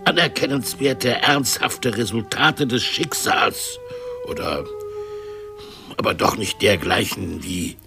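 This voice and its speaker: A man's voice: on the low side, takes it slowly, vocal fry, music in the background. A man playing a scornful, impatient bully in a radio drama, speaking in a sardonic, declamatory way. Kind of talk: 1970s German radio drama acting.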